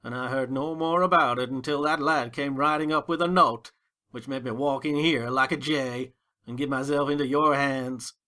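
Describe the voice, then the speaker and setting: A man's voice: medium pitch, fairly even intonation, normal speed, pushed and bright, natural voice. A man playing a hot-tempered, defiant American gangster in a dramatic audiobook reading, with slangy, emphatic delivery. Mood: intense, earnest.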